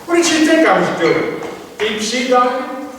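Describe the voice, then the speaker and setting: Exasperated 1950s radio-comedy dad acted by a man, higher male voice, sarcastic grumbling, hesitant, long hall reverb. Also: lively intonation, moderate pace, fairly bright tone. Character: exasperated sarcastic middle-aged 1950s family father. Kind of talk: live stage performance of 1950s radio comedy. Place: church hall stage, recorded from afar. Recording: distant echoey hall, hissy background noise.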